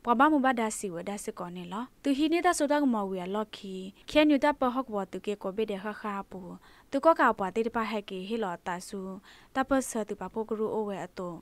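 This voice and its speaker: A woman's voice: mid-range voice, lively intonation, fairly quick, no room sound. A woman presenting the news, reading from a script as a radio newsreader.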